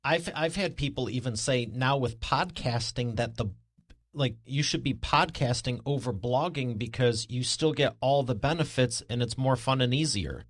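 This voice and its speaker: A man hosting a conversational interview podcast, thinking aloud in a halting way as he pushes back on his guest. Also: medium pitch, no echo, clean studio sound. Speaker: podcast host.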